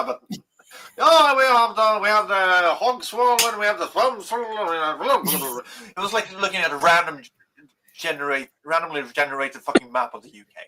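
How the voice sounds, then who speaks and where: A Swedish man with a Swedish accent, co-hosting a podcast in casual chat, animated and swearing constantly as he rambles. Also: high voice, low-quality recording. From Sweden. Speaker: podcast co-host.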